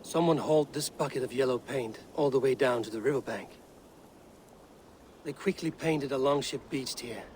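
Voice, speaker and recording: A man playing a steady, probing Viking raider in a video game, with a calm, direct manner. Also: medium pitch, normal speed, a little hiss or hum, lightly acted. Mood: deducing. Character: steady, probing male Viking raider leading his clan. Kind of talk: video game cutscene acting.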